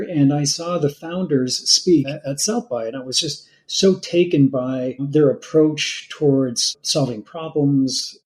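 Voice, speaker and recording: Friendly middle-aged man, raised pitch. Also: darkish tone, poor audio. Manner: friendly, storytelling, self-deprecating humor. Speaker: guest, author and educator. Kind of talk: casual interview answers.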